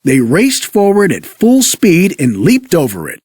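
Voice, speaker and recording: A man's voice: fairly high pitch, huge pitch swings, unhurried, a little mellow, breathy edge, no echo, studio quality. A man reading scripted narration for a children's read-along story.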